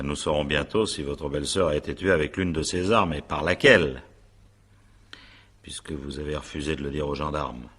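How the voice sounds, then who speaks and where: A male examining magistrate in a radio crime drama. The voice is extremely low and husky. He questions with patience, small hesitations and courteous irony, as a shrewd official who takes his time. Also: pushed and bright, exaggerated voice. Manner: hesitant, probing, politely ironic.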